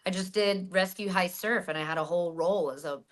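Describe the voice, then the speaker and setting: A woman guest talking casually in an interview, animated, with frequent fillers and restarts. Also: lowish voice, fast. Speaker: guest, stunt actress and hypnotherapist.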